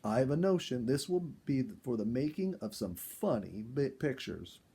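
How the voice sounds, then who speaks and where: A man playing a good-humored outdoorsman husband in a storybook, folksy and animated. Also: medium pitch, a little slow, slightly rough, exaggerated voice. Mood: wry, curious.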